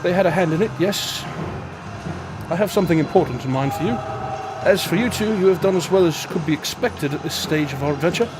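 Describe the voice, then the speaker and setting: A man's voice: a little high, brisk, lightly acted. A man playing a wry, teasing divine guide in an audio drama, polite and lofty in manner. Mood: authoritative. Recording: dramatic music underneath.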